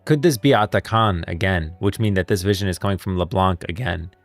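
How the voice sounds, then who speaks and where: Man, very low pitch, casual streamer commentary, rambling and emphatic, music in background. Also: fairly quick. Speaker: livestream host. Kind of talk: casual lore commentary to viewers. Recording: music playing behind.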